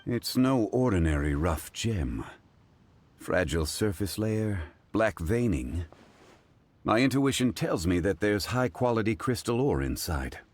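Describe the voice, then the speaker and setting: A man voicing a composed, learned character in a video game, speaking in a measured, formal, courteous way. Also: on the low side, expressive. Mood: calm, formal.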